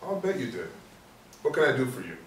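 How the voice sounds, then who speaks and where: An actor playing a courteous, steady police sergeant in a stage play reading, measured and matter-of-fact. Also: male voice, medium pitch. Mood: courteous, friendly. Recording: roomy echo, distant mic, faint noise.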